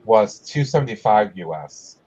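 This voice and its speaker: A friendly male host chats on a casual home livestream, laughing easily and welcoming viewers. His voice sits somewhat low, and the stream audio is dull and lo-fi with a faint hiss and occasional clicks. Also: expressive, normal speed, darkish tone. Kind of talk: casual chat.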